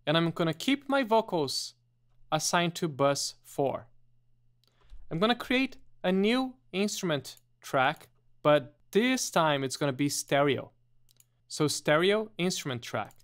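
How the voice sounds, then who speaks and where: A man presenting a step-by-step software tutorial in a methodical, explanatory way. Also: fairly high pitch, expressive, measured pace.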